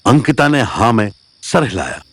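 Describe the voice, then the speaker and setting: Very animated male story narrator. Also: medium pitch, normal speed, husky, dry recording. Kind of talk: dramatic scripted story narration. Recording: music under the narration.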